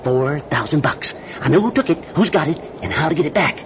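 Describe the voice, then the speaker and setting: A man's voice: a little high, expressive, brisk, natural voice. An American actor, a man, playing a scrawny, chatty sixty-year-old pickpocket with a folksy, wheedling manner in a radio drama. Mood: persuasive. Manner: folksy, wheedling, hesitant chuckling talk. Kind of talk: 1940s-style radio drama acting. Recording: muffled, noisy radio drama recording.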